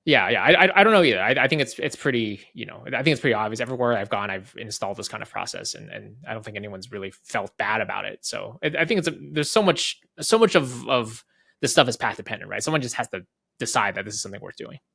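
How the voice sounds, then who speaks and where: A man's voice: on the low side, animated, fast, vocal fry, sharp tone, dry recording, clean studio sound. A man giving casual interview explanations as a guest, thinking aloud and often checking in with "right?". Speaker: head of product, interview guest.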